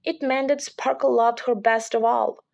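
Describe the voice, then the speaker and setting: A woman's voice: a little high, steady tone, normal speed, creaky, a little mellow. A woman reading a children's picture book aloud as a parent would, in scripted storybook narration.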